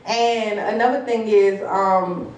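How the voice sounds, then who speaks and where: A woman's voice: mid-range voice, fairly even intonation, slow pace, darkish tone. A woman in her early twenties chatting casually as an interview guest, informal and slangy, often repeating her phrases. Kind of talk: casual chat. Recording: echoey, distant mic, background noise.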